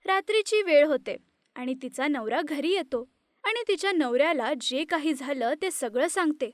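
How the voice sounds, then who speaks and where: A woman narrating an animated moral story in a steady, plain storytelling manner. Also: sits high, moderate pace, no room sound, clean studio sound.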